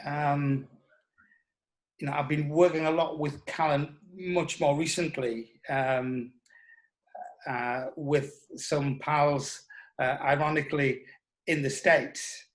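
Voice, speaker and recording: A man answering as an interview guest, reflecting in a thoughtful, halting and emphatic way. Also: on the high side, steady tone, low-quality recording.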